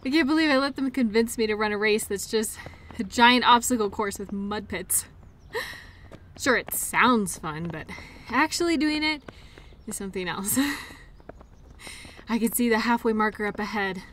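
Woman acting a bright, caring, playful girlfriend. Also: mid-range voice, lively intonation, normal speed, pauses often, a little hiss or hum, close to an everyday voice. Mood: weary, amused. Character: tired, playful, caring girlfriend at a mud race. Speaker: roleplay audio performer. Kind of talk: intimate roleplay audio acting, one-sided conversation.

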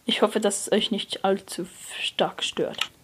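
A young person, likely a teen, giving hesitant gameplay commentary, often correcting themselves and dropping to a near whisper. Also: female voice, mid-range voice, unhurried. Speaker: let's player. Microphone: phone screen recorder mic. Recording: noisy lo-fi phone recording.

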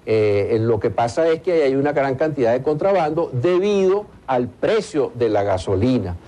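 A man, giving hesitant, deliberate analysis in a television interview. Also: mid-range voice, lively intonation, warm tone. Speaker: economic analyst being interviewed. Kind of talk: live television news interview. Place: TV studio in Caracas.

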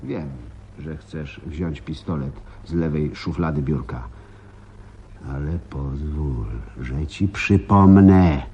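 An actor in a radio play voicing a menacing mutant posing as a police deputy, taunting and deliberate. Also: male voice, rumbling low, mellow, highs cut off, a little hiss or hum, full character voice. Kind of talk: Polish radio play acting.

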